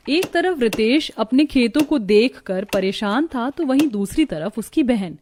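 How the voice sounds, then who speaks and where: A woman's voice: on the high side, animated, a little slow, a little mellow, a little hiss or hum. A woman narrating a scripted Hindi moral story as the storyteller.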